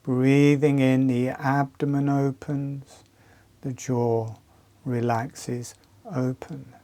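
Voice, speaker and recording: A man leading a guided breathing and relaxation exercise, speaking calmly and soothingly in short phrases with long pauses. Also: mid-range voice.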